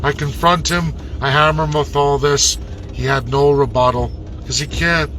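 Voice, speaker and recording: A man's voice: a little high, steady tone, normal speed, a bit bright, a little hiss or hum. A man delivering an impassioned political rant to his followers in an emphatic, combative, profane way, repeating words for weight. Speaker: political commentator.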